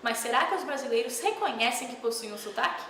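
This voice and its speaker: High-voiced woman news narrator, roomy. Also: quick pace, over a noisy crowd. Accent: Brazilian Portuguese. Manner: even, formal reading. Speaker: student news reporter. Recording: roomy voice-over with faint noise.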